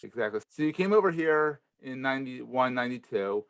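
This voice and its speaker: Male podcast host, mid-pitched, casual interviewer with a close, dry sound. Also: animated, a little fast, no room sound.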